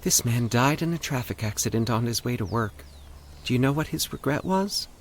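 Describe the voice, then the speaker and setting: A man voicing a calm, eerie woman who communes with the dead, speaking in a soothing, measured monotone for an audio horror story. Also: mid-range voice, a little hiss or hum, pitched above the performer's own voice, natural voice. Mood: grave, calm.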